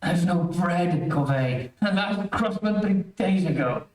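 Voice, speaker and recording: A man's voice: high-pitched, lively intonation, takes it slowly, a little mellow. A man playing an earnest, reasoning doctor in an old-time radio mystery, explaining things carefully. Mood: firm. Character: earnest, reasoning male doctor, a former escaped convict. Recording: roomy, distant amateur stream audio.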